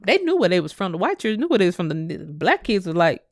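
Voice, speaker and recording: A woman's voice: lowish voice, very animated, quick pace, no echo, clean studio sound. A woman hosting her own commentary channel, speaking in an impassioned, preachy monologue that repeats phrases and swears freely.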